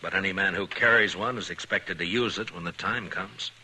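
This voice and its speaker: Frontier lawman: plainspoken, firm but concerned, very forceful low voice, old radio western sound with faint noise. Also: fairly quick, poor audio. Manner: plainspoken, hesitant lead-ins, probing questions. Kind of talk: 1950s radio western acting.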